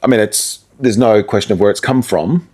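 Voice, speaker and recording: A man's voice: lowish voice, fairly quick, mellow, slightly rough. A man chatting casually as a guest about his creative work, in a colloquial, self-deprecating way. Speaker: musician, DJ and radio presenter guest. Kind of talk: casual chat about making music.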